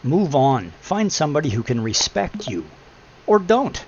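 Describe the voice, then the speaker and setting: A deep-voiced middle-aged man giving blunt, preachy advice in a casual chat, swearing freely. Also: very animated, unhurried. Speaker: podcast host. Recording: muffled lo-fi with faint hum.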